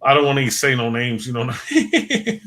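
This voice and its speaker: Bright-voiced host losing his voice. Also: medium pitch, big pitch swings, normal speed. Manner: rambling, repeats words, thanks viewers by name. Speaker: livestream host. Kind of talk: casual livestream chat.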